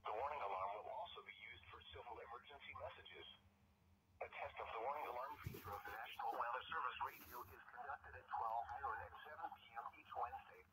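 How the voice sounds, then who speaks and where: Synthetic male weather radio voice, very noisy. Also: mid-range voice. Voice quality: synthetic text-to-speech voice. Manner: measured, impersonal announcement delivery. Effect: radio broadcast. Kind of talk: scripted weather bulletin read-out.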